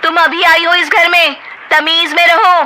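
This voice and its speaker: A woman voicing a scheming, bossy young sister-in-law who taunts and orders others about in a shrill voice. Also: expressive, moderate pace, pushed and bright, slight background noise, full character voice, rough recording.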